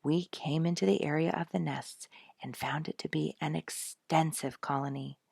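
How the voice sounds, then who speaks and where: A woman's voice: low-pitched, steady tone, normal speed, gravelly, a little mellow, slightly rough, dry recording, clean studio sound. A woman storyteller reading a scripted nature narrative aloud.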